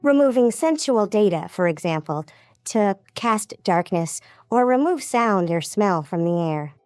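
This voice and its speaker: Woman acting a meek, very lively young sorceress. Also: medium pitch, a little slow, dry recording, clean studio sound, faint music behind, close to an everyday voice. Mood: earnest, defensive. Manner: meek, hesitant, explanatory. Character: meek young female sorceress, innocent, softly defensive scholar. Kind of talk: fully voiced fantasy audiobook dialogue.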